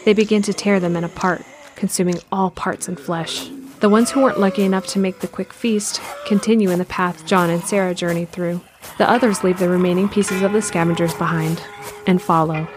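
A woman narrating an audio drama in a calm, measured way. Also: lowish voice, over music.

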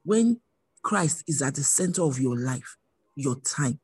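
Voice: mid-range voice, lively intonation, a little slow, darkish tone, breathy edge, no echo. A preacher giving an earnest sermon with personal testimony, repeating phrases for emphasis.

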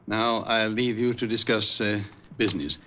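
An actor playing a calm, authoritative male hospital doctor in a 1950s radio drama, measured and soothing. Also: fairly low pitch. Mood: brisk, courteous. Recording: muffled, noisy old radio recording.

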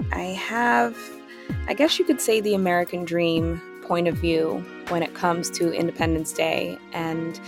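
A woman from Germany hosting her own podcast, talking through her thoughts in a calm, reflective solo monologue. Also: on the low side. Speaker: podcast host.

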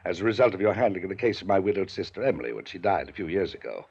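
An actor playing a pompous, domineering wealthy older uncle in a 1950s radio drama. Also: male voice, low voice, racing, a little mellow, slightly rough. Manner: pompous, clipped, rises to angry lecturing. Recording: dull lo-fi 1950s radio broadcast.

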